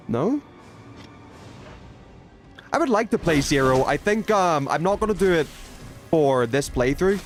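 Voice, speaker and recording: Casual young man livestreaming over music. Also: fairly high pitch. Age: 20s. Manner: rambling, informal, self-talking while playing. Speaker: video game streamer. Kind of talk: casual gaming livestream chat and commentary.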